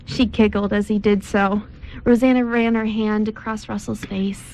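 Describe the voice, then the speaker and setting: A woman narrating a scripted amateur radio play with careful, deliberate reading. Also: medium pitch, little pitch movement, darkish tone, slight background noise, rough recording.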